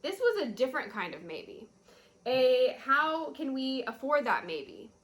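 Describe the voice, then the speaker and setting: A woman reading a children's picture book aloud in an expressive storytelling style. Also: high-pitched, a little slow.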